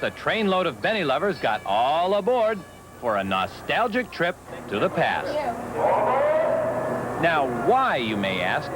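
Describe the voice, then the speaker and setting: A man's voice: fairly high pitch, very noisy. A man narrating a TV magazine feature in a playful, wry scripted delivery. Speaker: TV reporter.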